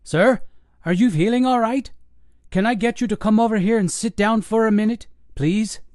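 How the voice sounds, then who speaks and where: A man doing a worried, gentle paramedic. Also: sits high, normal speed, no echo, studio quality, pitched above the performer's own voice, lightly acted. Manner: gentle, cautious.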